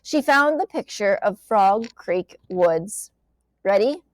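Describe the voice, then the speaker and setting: A woman reading a children's book aloud as an expressive storyteller, switching between plain narration and animated dialogue. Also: medium pitch, slow, no room sound.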